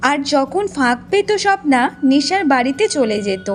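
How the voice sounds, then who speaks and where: A woman's voice: sits high, big pitch swings, normal speed. A woman narrating a scripted fairy tale as a storyteller. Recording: music behind the voice.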